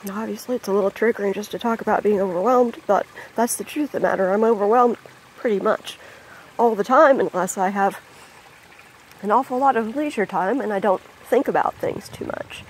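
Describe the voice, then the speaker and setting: A person talking casually outdoors by a backyard pond into a handheld phone, rambling and hesitant with many pauses and ums. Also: medium pitch, slight background noise, low-quality recording. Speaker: vlogger. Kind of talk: casual spoken vlog. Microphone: phone held by the speaker.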